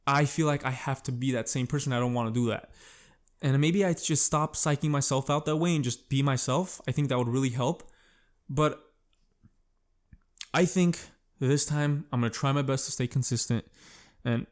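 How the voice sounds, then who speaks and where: Rambling young man swearing casually. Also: mid-range voice. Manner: rambling, self-conscious, swears often. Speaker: podcast host. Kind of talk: casual solo podcast monologue.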